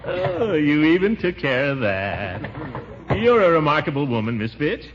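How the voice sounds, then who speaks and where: A man's voice: on the high side, big pitch swings, unhurried. An actor playing a blustery, self-important middle-aged American man in 1940s radio comedy, pompous and easily exasperated. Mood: affable, pleased. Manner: pompous, with chuckles and sputtering outbursts. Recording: muffled, noisy 1940s radio recording.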